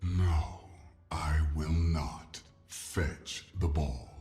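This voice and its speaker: A man voicing an ancient, stoic jackal-headed god-warrior in a video game, solemn and measured, with a processed, otherworldly voice. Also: steady tone, mellow, faint music behind, full character voice. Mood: dry, stern. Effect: deepened, processed monster-like voice. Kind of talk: scripted video game champion lines.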